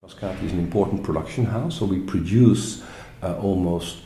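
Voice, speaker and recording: A man representing a theater venue, explaining its history in an interview with halting pauses and fillers. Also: low-pitched, dark tone, echoey room.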